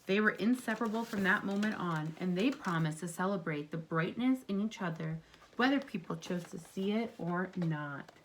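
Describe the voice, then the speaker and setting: A woman reading a picture book aloud to children as a teacher, pausing to put questions to them in an encouraging way. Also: on the low side, a little hiss or hum, low-quality recording.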